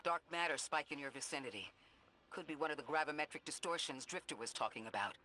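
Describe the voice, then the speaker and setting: An actor voicing a calm, analytical male robot companion in a video game, speaking in a measured, clipped and precise way, concerned and protective of the player. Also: a little high. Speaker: companion guide character.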